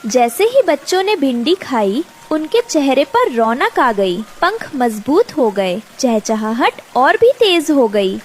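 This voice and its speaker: A woman narrator with a very high, animated voice tells a children's story. Her reading is slow and scripted, with faint noise in the background.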